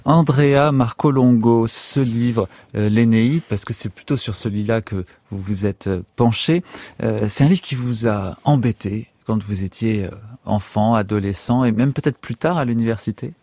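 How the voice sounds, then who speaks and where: A man hosting an interview, asking his guests brisk, probing questions. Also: fairly low pitch, warm tone, no echo. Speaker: radio host.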